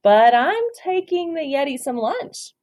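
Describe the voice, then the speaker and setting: An eager little boy adventurer from a picture book, voiced by a woman reading aloud. He speaks high and very animatedly, polite and full of hope. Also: a little slow. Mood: apologetic. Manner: excitable, exclaiming. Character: eager, polite boy explorer searching for the Yeti.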